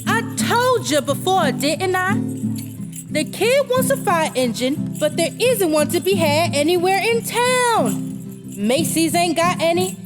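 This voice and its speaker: Woman playing a high-voiced, brassy city mom. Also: lively intonation, takes it slowly, over music, full character voice. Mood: exasperated. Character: brassy, blunt, working-class city mother, plain-spoken. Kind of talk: old-time radio drama acting.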